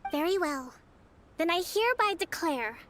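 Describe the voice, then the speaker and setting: A woman voicing a young military leader in a video game, kindly but stern, speaking in a formal, measured, articulate way. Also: very high voice, big pitch swings, a bit bright, a little hiss or hum, exaggerated voice. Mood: resolute, commanding. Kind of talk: scripted video game dialogue. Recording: game music behind the voice.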